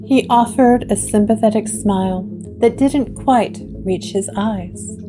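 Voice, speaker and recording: A woman narrating a gothic drama in a slow, hushed, dramatic way. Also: mid-range voice, over music, rough recording.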